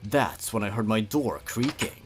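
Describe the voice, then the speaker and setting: A man's voice: lowish voice, lively intonation, unhurried. A man hosting a comedic reading, moving between dramatic narration and wry, sarcastic asides with theatrical emphasis. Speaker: comedy video host reading and commenting on horror fan fiction. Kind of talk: comedic commentary mixed with dramatic story read-aloud.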